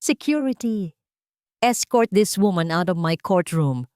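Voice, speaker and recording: A woman's voice: on the low side, animated, a little slow, darkish tone, no echo, clean studio sound. A woman voicing a stern female judge, formal and unwavering, speaking with a Filipino accent. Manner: formal, firm, unwavering, clear.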